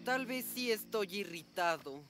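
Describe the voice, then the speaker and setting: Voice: mid-range voice, expressive, a little slow. An actor voicing a hot-tempered but earnest armadillo strongman in a cartoon, blustering, with a Latin American Spanish accent. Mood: grumbling. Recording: loud music behind the voice.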